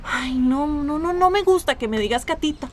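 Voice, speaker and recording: An actress with a Costa Rican accent playing an excitable, outspoken young woman in a radio drama. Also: high voice, normal speed, a little hiss or hum. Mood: exasperated. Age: young adult.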